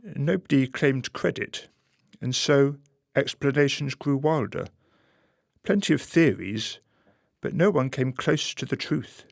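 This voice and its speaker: A male narrator tells a scripted story for a radio play. His voice has a gravelly creak and a wry, measured manner, recorded very dry. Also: medium pitch, lively intonation, no room sound.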